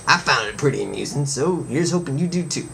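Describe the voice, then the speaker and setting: A man's voice: mid-range voice, animated, brisk, creaky, bright, forceful tone. A man playing a cocky superhero who delivers a comic monologue in a folksy, theatrical, opinionated manner. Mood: amused, chatty. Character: cocky adventuring male superhero chatting to fans. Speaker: show host in character. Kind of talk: comic monologue, reading a letter aloud. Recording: background noise behind the voice.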